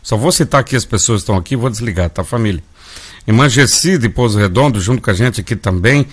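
Brazilian gospel radio host, folksy. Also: male voice, on the low side, expressive, fast, slightly rough. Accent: Brazilian Portuguese. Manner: warm, folksy, calls listeners "family". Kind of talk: live gospel radio chat.

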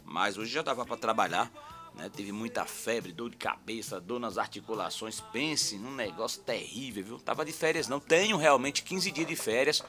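Bright-voiced Bahian male radio host. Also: mid-range voice, normal speed, faint music behind. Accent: Northeastern Brazilian, Bahia. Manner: colloquial, seeks agreement with tag questions. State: slightly hoarse from a recent virus. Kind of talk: casual live morning radio chat with listeners.

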